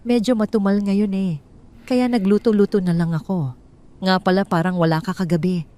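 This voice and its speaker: A woman's voice: medium pitch, fairly even intonation, normal speed, warm tone, natural voice. A woman voicing a sly, flirtatious neighbor woman who talks in a fake-sweet, overly friendly way. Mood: falsely sweet. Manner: sugary, falsely friendly. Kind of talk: audio drama storytelling.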